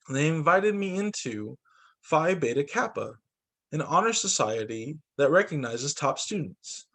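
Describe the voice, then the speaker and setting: Animated man reading a storybook aloud. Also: on the high side. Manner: animated, acts out dialogue for children. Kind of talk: expressive children's read-aloud narration.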